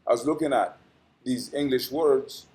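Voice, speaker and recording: A man's voice: medium pitch, moderate pace, a little mellow. A man hosting an astrology channel, reading a dense weekly forecast with frequent "uh" fillers and small stumbles, then chatting casually in a rambling sign-off. He pronounces English carefully, as a language he learned later in life.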